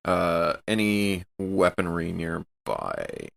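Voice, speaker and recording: A man's voice: low voice, big pitch swings, takes it slowly, vocal fry, a bit bright. A man talking casually as a player in a tabletop role-playing game.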